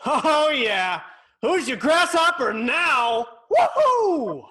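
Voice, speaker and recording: Man reading excitable young boy, slow-paced, wide pitch swings, table read. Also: full character voice. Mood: excited. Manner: eager, excitable kid delivery. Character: eager young boy wanting to learn kung fu.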